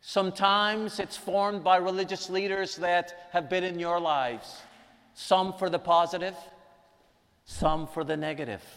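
A man's voice: high-pitched, fairly even intonation, unhurried, fairly bright tone. A man from Guyana preaching a sermon and teaching a lesson, emphatic, repeating key lines for weight. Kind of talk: sermon with prayer. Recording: long reverb in a large hall.

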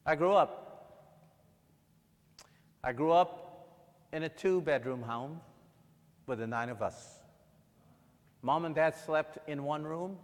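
A man from Guyana preaching a sermon, moving from quiet personal storytelling to loud, fervent emphasis. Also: medium pitch, in a large hall.

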